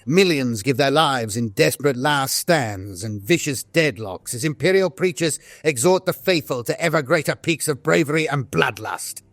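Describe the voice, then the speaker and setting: A man playing a grave veteran military officer, reading a war journal in a formal, measured, dramatic style. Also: mid-range voice, expressive, fairly bright tone, no room sound, natural voice. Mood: grim, ominous. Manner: solemn, formal, measured delivery. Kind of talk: scripted dramatic audio-drama narration.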